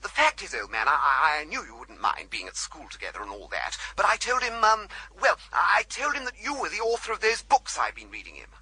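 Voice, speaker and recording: An excitable young upper-class English chum in a radio comedy. The voice is bright and forceful, swinging very widely in pitch. He is breezy and pushy, coaxing in dated slang. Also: brisk, hardly any pauses, husky, exaggerated voice, rough recording. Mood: breezy, cajoling. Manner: breezy, insistent, pushy, old-fashioned slang. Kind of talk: radio comedy drama acting.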